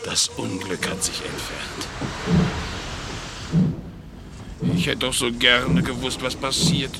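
An actor playing a naive, good-natured pet dog in a German radio play, excitable and full of wide-eyed wonder. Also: male voice, medium pitch, unhurried, vocal fry, very noisy. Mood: agitated.

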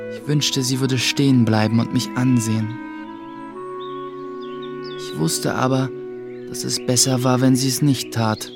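A thoughtful young apprentice telling his own story in a radio drama. His mid-pitched voice is restrained, with clear music behind it. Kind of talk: scripted first-person narration in a German radio play.

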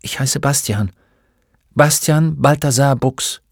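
A shy storybook schoolboy, performed by a man, meek and unsure of himself. The voice sits a little higher and softer, with hesitant phrasing and a very dry sound. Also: takes it slowly, gravelly, dry recording. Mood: timid. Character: shy, bullied, timid schoolboy. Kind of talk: storybook character voice.

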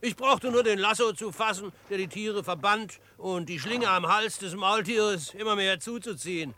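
A man's voice: high-pitched, moderate pace, bright, forceful tone, a little hiss or hum. An actor playing a young, modest greenhorn frontiersman in a radio drama, courteous and lightly teasing. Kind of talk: German radio drama acting.

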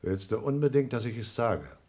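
A man's voice: mid-range voice, animated, normal speed, a little mellow, lightly acted. An actor playing a distrustful, paranoid male astronaut, formal and insistent, in a German radio play. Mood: suspicious, tense.

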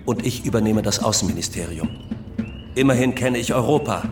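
Actor as a proud, ambitious French general. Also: male voice, on the low side, brisk, over music, natural voice. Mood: deferential, assertive. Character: proud, ambitious general, deferential yet self-assured. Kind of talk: radio drama acting.

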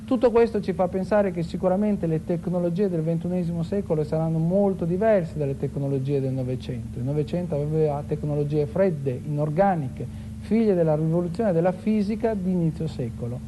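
A man giving an explanatory, lecture-like talk as a science expert, in a measured, didactic way. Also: fairly high pitch. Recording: clear background noise and ambience.

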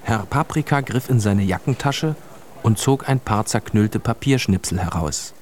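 A man's voice: lowish voice, lively intonation, moderate pace, darkish tone, slight background noise. A man narrating a scripted children's story as the narrator of a radio play. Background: music.

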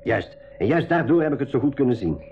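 Shrewd adventurer's companion voiced by a man, mid-pitched and very lively, explanatory school radio drama delivery, slightly dull recording. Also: quick pace, a little hiss or hum, natural voice. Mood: confiding. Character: Coralis, shrewd observant companion recounting his escape.

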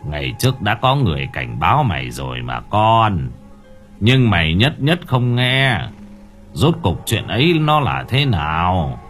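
A man voicing a stern old spirit master who scolds in a gruff, grave way, his tone rising and falling. Faint music plays underneath. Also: lowish voice, big pitch swings, unhurried, fairly bright tone.

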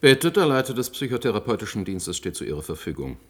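Formal male official, deep and animated. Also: quick pace. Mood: assured. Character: self-assured male president of a scientific institution. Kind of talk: science fiction radio play acting.

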